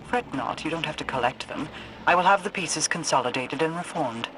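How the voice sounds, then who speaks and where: An actress playing a proud, cold queen in a video game, speaking in a formal, commanding, measured way. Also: deep voice, expressive, noisy background. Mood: commanding, condescending. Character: proud, cold, imperious queen mourning her brother. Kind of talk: dramatic video game dialogue.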